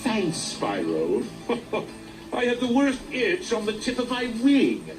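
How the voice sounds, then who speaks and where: A man's voice: sits high, huge pitch swings, exaggerated voice. A man voicing an elderly, jolly dragon who chuckles and gets winded. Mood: cheerful. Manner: slow, chuckling, easily winded. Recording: distant game audio with music.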